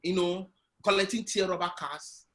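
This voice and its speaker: A man telling his business story on a video call interview, with a loud, animated way of speaking. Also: sits high, normal speed. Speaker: guest entrepreneur. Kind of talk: online interview, personal story.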